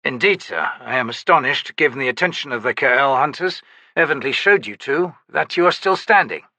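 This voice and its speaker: A man's voice: mid-range voice, expressive, a little fast, pushed and bright, dry recording, pitched above the performer's own voice. A man voicing a disciplined, composed male knight commander in an audiobook, speaking formally and firmly. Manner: formal, firm, courteous.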